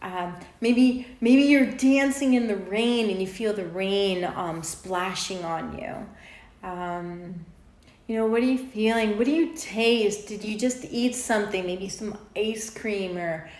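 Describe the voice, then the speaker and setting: A woman leading an unscripted guided meditation in an informal, conversational way, with halting pauses. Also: medium pitch, darkish tone. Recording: roomy echo on the voice.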